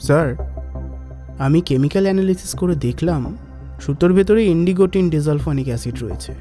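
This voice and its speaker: An actor playing an analytical, imaginative forensic professor who explains deliberately in a detective audio drama. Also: male voice, a little high, warm tone, soft background music, natural voice.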